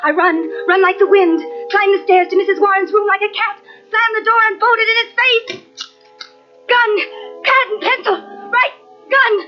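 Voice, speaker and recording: A frightened young woman in an old radio thriller, her very high voice urgent and breathless. She thinks frantically in short repeated phrases, on a muffled tape with music faintly behind. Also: fairly even intonation, normal speed. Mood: desperate, urgent. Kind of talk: old-time radio suspense drama acting.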